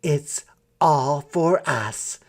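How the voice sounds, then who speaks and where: A man's voice: on the high side, extremely slow, creaky, full character voice. A man voicing a confident, proud female city mouse in a storybook, with a bold, bossy, teasing manner.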